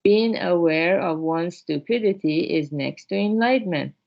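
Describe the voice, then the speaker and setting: A woman voicing a kind, wise male Buddhist master in a storybook, gently instructive, on a dull recording. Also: fairly low pitch, normal speed, gravelly. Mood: encouraging, instructive. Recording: dull, lo-fi sound.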